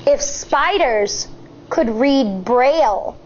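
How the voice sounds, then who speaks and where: High, animated American woman reading to children. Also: highs cut off, slight background noise. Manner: expressive, deliberate, drawn-out reading. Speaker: elementary school teacher. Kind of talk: children's picture book read-aloud with questions to listeners.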